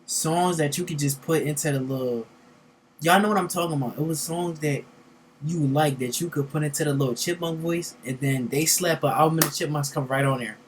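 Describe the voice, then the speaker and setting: A young man hosts a reaction video in a voice a touch higher than most men's. His talk is informal, slangy and freely profane. There is faint noise in the recording. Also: a little fast. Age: young adult. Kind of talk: casual, slangy reaction commentary.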